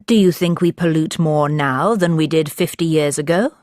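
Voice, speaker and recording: A woman reading exam questions in a clear, even, formal way. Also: low-pitched, normal speed, dry recording, clean studio sound. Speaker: examiner voice on course audio.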